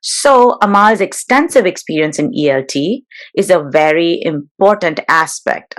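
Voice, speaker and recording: Measured woman hosting a webinar, lowish voice. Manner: measured, slightly formal, with fillers. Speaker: webinar host. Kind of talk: introducing and interviewing a guest.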